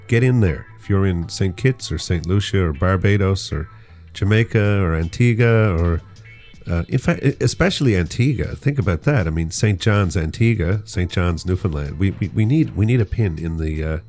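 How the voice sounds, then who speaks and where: A man's voice: low-pitched, lively intonation, normal speed, darkish tone, soft background music. A man co-hosting a casual podcast, joking and rambling as he explains island slang. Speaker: podcast co-host. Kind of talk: casual chat.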